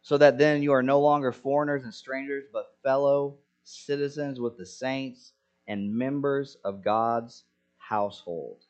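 A man's voice: mid-range voice, steady tone, unhurried, a little mellow. Earnest male pastor preaching, dull recording. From Senegal. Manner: earnest preaching with rhetorical questions. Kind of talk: sermon.

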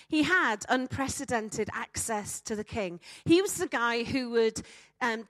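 High-voiced Welsh woman preacher. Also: no room sound. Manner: emphatic, with rhetorical questions and dramatic pauses. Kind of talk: sermon to a congregation.

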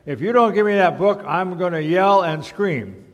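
A man playing a bossy, loud little boy in an echoing hall. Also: sits high, lively intonation, highs cut off, pitched above the performer's own voice. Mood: defiant, yelling. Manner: loud, exaggerated, drawn-out demands. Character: bossy, stubborn little kindergarten boy, prone to yelling. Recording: reverberant large hall.

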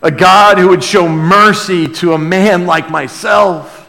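A male pastor teaches from the pulpit in a fairly high voice, with long reverb trailing in a big hall. He speaks conversationally but earnestly, breaking into exclamations and repeating phrases to press them home. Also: slow pace, vocal fry, pushed and bright. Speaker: pastor preaching to a congregation. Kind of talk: sermon, conversational Bible teaching with call and response.

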